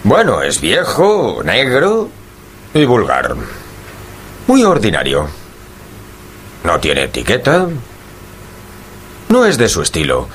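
Un actor interpreta al amigo leal y escéptico de un detective, titubeante e inquisitivo, en una radionovela. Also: male voice, lowish voice, huge pitch swings, slow pace, long pauses, a bit bright, a little hiss or hum, heavily acted. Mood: hesitant, observing. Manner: hesitant, questioning, plain-spoken. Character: loyal, skeptical gentleman friend of a detective, earnest. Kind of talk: Spanish-language radio drama dialogue.

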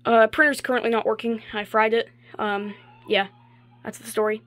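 A hobbyist showing off a collection in a casual, halting, offhand way, filmed on a handheld device in a bedroom. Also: mid-range voice, little pitch movement, fairly bright tone. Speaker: hobbyist video maker. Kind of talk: casual show-and-tell of a collection. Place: small carpeted bedroom, indoors. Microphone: handheld phone or camera, moving around.

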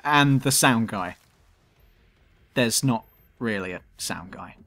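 A man's voice: medium pitch, lively intonation, takes it slowly, fairly bright tone. A man with a southern English accent, reading a scripted, self-mocking commentary for his gaming channel. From southern England. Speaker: YouTube gaming channel host. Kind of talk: scripted commentary over gameplay.

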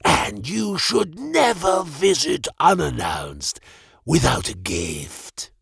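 A man voicing a greedy, volatile mage with a metal arm and a glowing eye, in a raspy, breathy voice that hisses threats and breaks into frantic shouts. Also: fairly high pitch, big pitch swings, slow pace, no room sound, studio quality, pitched above the performer's own voice, exaggerated voice. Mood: sly. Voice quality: raspy, breathy whisper sliding into shrieks. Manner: theatrical, snarling, swings from hissing threat to frantic shouting. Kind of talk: audiobook character voice.

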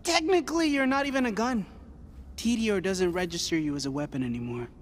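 A man playing a cocky, excitable, tech-savvy young hustler in a video game, talking boastfully. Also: high voice, quick pace, heavy background noise, close to an everyday voice. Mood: smug.

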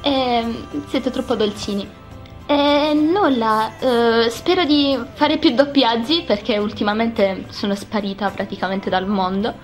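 Young woman, high pitch, unhurried halting chat, casual. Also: faint music behind. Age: young adult. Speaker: amateur voice actor.

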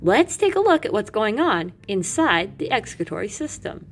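A woman reading educational material aloud as a teacher, with animated emphasis and carefully separated lists. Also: medium pitch, moderate pace, a little hiss or hum. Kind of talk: expressive educational read-aloud.

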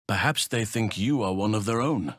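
Deep, solemn elf druid healer. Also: male voice, breathy edge, no room sound, clean studio sound. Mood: calm, earnest. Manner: measured, earnest, formal and slightly archaic phrasing. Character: gentle, solemn male elf druid healer, nature-loving and protective. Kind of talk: video game character voice lines.

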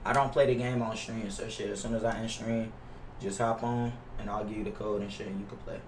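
A man's voice: fairly low pitch, little pitch movement, brisk, reverberant, recorded from a distance, slight background noise, poor audio. A young American man in his twenties chatting casually on a gaming livestream, profane, slangy and repetitive in his griping. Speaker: game streamer. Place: indoor home gaming room. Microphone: headset mic.

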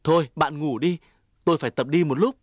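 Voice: mid-range voice, a little fast, no echo, clean studio sound, natural voice. An actor playing a sly, deceitful cartoon weasel who wheedles like a friend and boasts like a crook. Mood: friendly, grateful. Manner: wheedling, swings into boastful cackling. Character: sly deceitful weasel, fake-friendly, gloating when triumphant. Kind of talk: Vietnamese children's cartoon acting.

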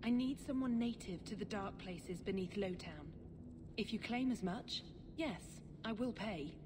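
An actress playing a stern, haughty religious sister in a video game, speaking in a formal, measured, imperious way. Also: female voice, medium pitch, expressive. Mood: stern, guarded. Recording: game audio with background noise.

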